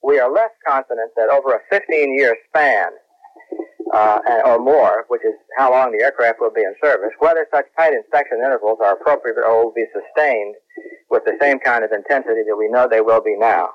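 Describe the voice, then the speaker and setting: Man, official spokesman, formal measured phrasing, medium pitch, careful statement, muffled radio clip. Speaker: government aviation official.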